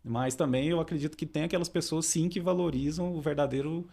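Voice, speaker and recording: A man, a philosophy teacher calmly explaining ideas as a guest in a podcast interview, often ending phrases with a questioning tag. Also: fairly high pitch, a little fast.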